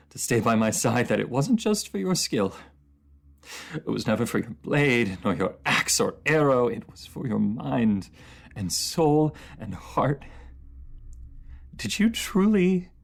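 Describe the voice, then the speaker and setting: A man's voice: medium pitch, very animated, a little slow, creaky, full character voice. A man playing an anguished, proud enemy ruler in a fantasy audio roleplay, speaking in formal, theatrical phrases that halt with emotion. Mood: wounded, pleading. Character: captive enemy ruler, anguished, proud, lovesick, under truth potion.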